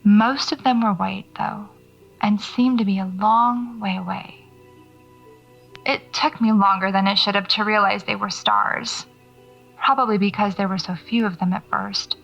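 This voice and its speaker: A woman's voice: medium pitch, creaky, a bit bright. A woman playing a reflective, awed horror writer, recounting her experience as an audio drama monologue. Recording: dull tape recording, faint music.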